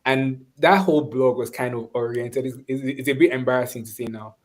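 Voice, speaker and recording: A Nigerian man speaking reflectively and conversationally in an online webinar, often pausing and restarting as he searches for words. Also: mid-range voice. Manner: thoughtful, halting, searching for words. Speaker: webinar speaker, course instructor.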